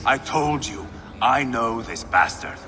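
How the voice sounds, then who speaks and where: An actor playing a brutal, hot-tempered Viking warrior in a video game, sneering, foul-mouthed and menacing. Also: male voice, mid-range voice, lively intonation, slow. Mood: scornful. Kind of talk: dramatic video game acting. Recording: long hall reverb, music underneath.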